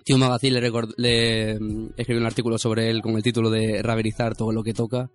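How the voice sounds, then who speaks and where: A man's voice: fairly low pitch, level tone, fast talker. A man with a Canarian Spanish accent hosting a music segment in casual conversation. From Canary Islands, Spain. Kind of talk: casual chat.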